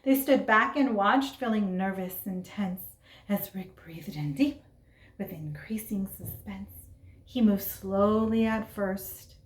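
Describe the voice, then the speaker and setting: A woman reading a children's story aloud in an expressive, dramatic way, with asides and questions to young listeners. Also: mid-range voice, takes it slowly. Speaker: storybook reader. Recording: roomy, echoing sound.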